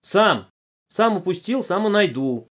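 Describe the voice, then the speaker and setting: A man voicing a flustered, guilty schoolboy in a story, halting and stammering. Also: sits high, very animated, voice pushed up. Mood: tearful, stubborn.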